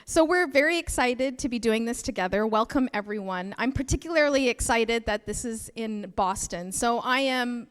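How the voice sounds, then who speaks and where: A woman's voice: on the high side, expressive, moderate pace, few pauses, clean tone. Canadian woman welcoming a conference crowd. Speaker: nonprofit leader and co-host. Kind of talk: conference opening speech. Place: conference hall. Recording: long hall reverb.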